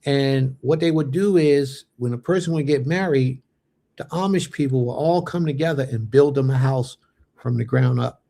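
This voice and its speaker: A man's voice: mid-range voice, normal speed, vocal fry, warm tone. A man in his late thirties hosting a religious fellowship livestream, reading viewer comments aloud and answering them in easy chat, with Hebrew blessings sprinkled in. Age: late 30s.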